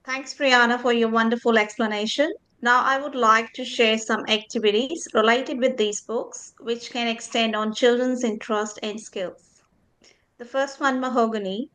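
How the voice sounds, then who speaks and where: A woman's voice: a little high, steady tone, normal speed, a bit bright, rough recording. A woman student presenter reading through a scripted list of teaching suggestions.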